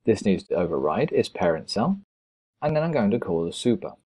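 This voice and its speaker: A man's voice: lowish voice, sing-song, brisk, mellow, husky. A man narrating a step-by-step programming screencast as an instructor, in a methodical, explanatory way.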